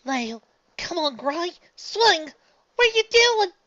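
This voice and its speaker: A woman voicing an excitable young filly pony who yells and cheers in a shrill voice. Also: slow pace, dry recording, dull audio, heavily acted. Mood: cheering.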